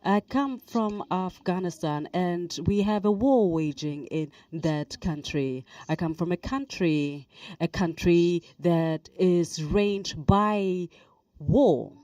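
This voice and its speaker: Woman interpreter, low mellow voice, unhurried, on a dry distant mic. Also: dry recording, lo-fi sound. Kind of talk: consecutive interpreting on a panel.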